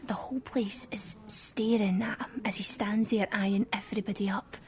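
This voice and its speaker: A woman playing an excitable young Scottish girl who is mad on pop music, in naturalistic drama dialogue. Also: mid-range voice, moderate pace, natural voice, low-quality recording. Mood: eager, confiding.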